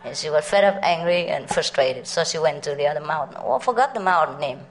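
A woman's voice: deep voice, expressive, quick pace, a little hiss or hum, poor audio. A middle-aged woman from Vietnam, a spiritual teacher telling stories to a meditation group in a chatty way, often laughing and asking her listeners questions. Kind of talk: storytelling talk to a meditation group.

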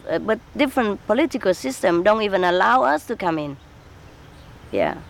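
A woman giving interview answers as a spiritual teacher, speaking halting English with many fillers and small laughs. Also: medium pitch. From Vietnam.

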